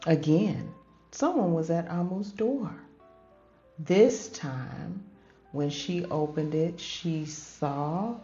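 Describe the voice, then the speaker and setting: A woman reading a children's story aloud as host, with dramatic, drawn-out phrasing in a grandmotherly manner. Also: sits low, dark tone. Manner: addresses listeners as babies, grandmotherly. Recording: dull lo-fi audio with music behind. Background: background music.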